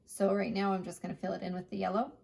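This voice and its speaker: A woman art teacher giving a chatty step-by-step painting lesson, her voice hoarse. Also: mid-range voice, level tone, fast talker, a little mellow. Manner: chatty, plain instructional talk.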